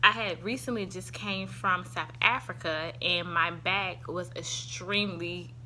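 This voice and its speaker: A woman's voice: on the low side, measured pace, bright, forceful tone, a little hiss or hum. A young woman with a Southern US accent, chatting casually through a product review at home and recorded on a handheld phone, rambling with many ums. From Southern United States. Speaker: vlogger reviewing supplements. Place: her home, indoors.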